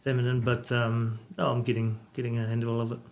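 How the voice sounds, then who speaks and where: A man, a Samoan speaker, giving calm, measured interview answers with many hesitations. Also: mid-range voice. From New Zealand. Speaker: rugby coach, guest.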